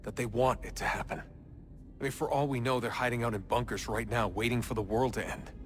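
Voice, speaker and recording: A man's voice: mid-range voice, fairly even intonation, brisk, close to an everyday voice. An actor playing a blunt, frustrated young American man, a reluctant hero in a video game. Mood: thoughtful. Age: young adult. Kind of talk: naturalistic video game acting.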